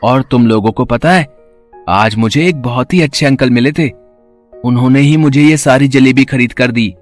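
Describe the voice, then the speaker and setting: A man's voice: medium pitch, normal speed, faint music behind, natural voice. A man voicing a humble, good-hearted poor working boy in a cartoon story, polite and earnest. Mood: earnest, happy. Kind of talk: acted cartoon story dialogue.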